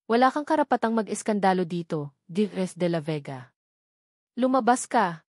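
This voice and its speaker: A woman's voice: mid-range voice, expressive, moderate pace, dry recording, clean studio sound, natural voice. A Filipino woman storyteller voicing a proud, weary single mother with a firm, unyielding manner. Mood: defiant.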